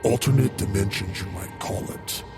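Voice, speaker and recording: An eerie spirit from a horror story , acted out by a man, speaks low and deliberately. It sounds calm and knowing, as if patiently explaining things beyond human understanding. Loud music plays behind the voice. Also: close to an everyday voice. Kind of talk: scripted horror story dialogue.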